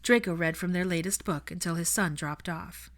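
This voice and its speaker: A woman's voice: lowish voice, moderate pace. A woman reading scripted fiction aloud as an audiobook narrator.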